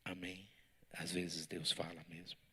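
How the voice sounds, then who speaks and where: Pastor guy teaching bible study in church, lowish voice but really bright, gets worked up. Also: unhurried, slightly rough. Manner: animated, lively preaching with audience banter.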